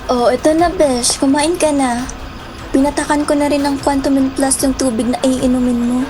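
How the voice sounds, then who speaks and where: Actress as young Filipino sidekick, friendly and casual, high-pitched, audio drama with music bed. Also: female voice, fairly even intonation, moderate pace, natural voice. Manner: chatty, casual. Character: chatty, friendly young best friend of the daughter.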